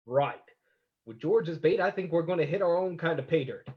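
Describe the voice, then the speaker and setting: Guy playing a determined radio sleuth, voice a bit high, measured, slightly lo-fi recording. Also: lightly acted. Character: resolute, cryptic male crime-fighting sleuth. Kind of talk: old-time radio drama reading. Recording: slightly dull, lo-fi sound.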